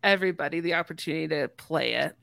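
A woman's voice: deep voice, fast, gravelly, crowd noise. A woman hosting a casual podcast, chatting informally with the other speakers. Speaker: podcast host.

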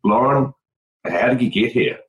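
A man's voice: medium pitch, normal speed, fairly bright tone, echoey room. A man co-hosting a podcast, speaking in an easygoing, friendly way. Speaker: podcast co-host. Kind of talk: casual podcast chat.

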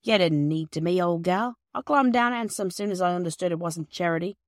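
A woman voicing a proud, stubborn but soft-hearted working-class man with gruff, rustic dialect speech in a storybook reading. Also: on the low side, fairly quick, no echo, clean studio sound, lightly acted. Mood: firm, proud.

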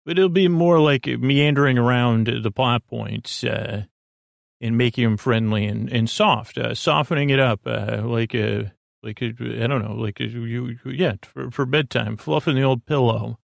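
A man hosting a sleep podcast, talking in a slow, meandering bedtime monologue full of fillers and restarts. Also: mid-range voice, no echo, clean studio sound. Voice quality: creaky, halting, many fillers and restarts.

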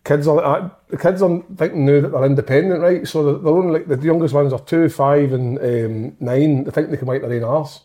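Mellow-voiced man, rambling banter. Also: fairly high pitch, fairly even intonation, a little fast, few pauses. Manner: rambling, laughs easily, crude jokes. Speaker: podcast co-host, goalkeeper. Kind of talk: casual banter.

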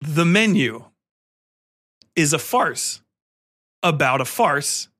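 Bright, higher-voiced man podcasting casually. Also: slow. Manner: excitable, profane, emphatic. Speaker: podcast host. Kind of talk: casual, rambling film commentary.

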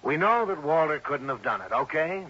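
An actor with an American accent playing a skeptical, blunt police sergeant in a 1960s radio detective drama. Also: male voice, on the high side, big pitch swings, moderate pace, bright, forceful tone, husky, exaggerated voice. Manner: blunt, doubting, interjecting. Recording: muffled vintage radio recording.

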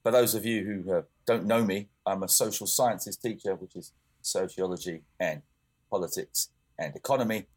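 A man's voice: low voice, moderate pace, breathy edge. A young man co-hosting a podcast, talking casually with lots of fillers and restarts. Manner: rambling, many fillers and restarts. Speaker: podcast co-host. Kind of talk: casual chat.

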